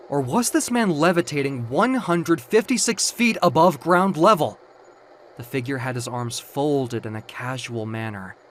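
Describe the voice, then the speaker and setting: A man reading dramatic scripted narration for a dark audio comic story. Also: medium pitch, a little hiss or hum.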